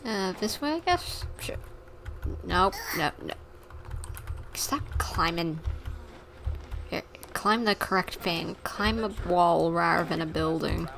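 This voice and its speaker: A woman livestreaming a game, chatting playfully and reading dialogue aloud with some halting. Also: fairly low pitch, vocal fry, fairly bright tone, a little hiss or hum. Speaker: game streamer. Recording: keyboard typing, game sound behind. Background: typing and game ambience.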